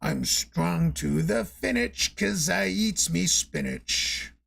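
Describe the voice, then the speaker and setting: A man doing an impression of a gruff, squinting old male cartoon sailor, growling and mumbling with broken grammar. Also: high voice, lively intonation, slow, fairly bright tone, voice pushed up, heavily acted.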